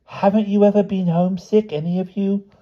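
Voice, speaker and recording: A man reads as an earnest young schoolgirl from a storybook, her voice lifted high. She pleads urgently and tries to persuade, on a slightly dull recording. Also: fairly even intonation, fairly quick, close to an everyday voice. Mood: anxious pleading.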